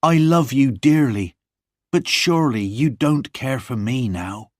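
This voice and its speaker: A man's voice: mid-range voice, lively intonation, a little slow, no room sound, clean studio sound, pitched above the performer's own voice, natural voice. A man doing an earnest young farmer in love.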